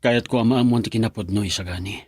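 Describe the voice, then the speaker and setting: A man's voice: on the low side, fast, a little mellow, dry recording, lightly acted. An actor playing a young university student in love, talking earnestly with his friend in a radio drama.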